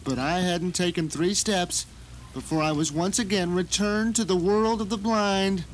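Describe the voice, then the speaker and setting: A man's voice: high voice, measured pace. A man playing a self-satisfied novelist trapped at home, narrating his grim ordeal dramatically in an audio drama. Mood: queasy, desperate. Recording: noisy 1990 radio drama recording.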